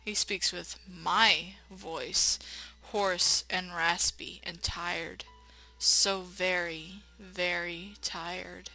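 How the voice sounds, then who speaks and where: A woman's voice: fairly low pitch, little pitch movement, very slow, bright, forceful tone, faint music behind, rough recording. A woman reading a game dramatically and adding casual, slangy, irreverent commentary as a let's play host.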